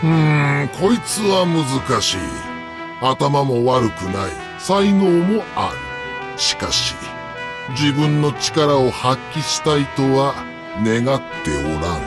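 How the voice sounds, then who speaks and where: ゆっくり唸る魔法の帽子、音楽入り. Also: male voice, medium pitch, expressive, music in the background. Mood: pondering. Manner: slow, drawn-out pondering. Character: ponderous old magical talking hat sorting pupils. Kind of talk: anime audio drama parody.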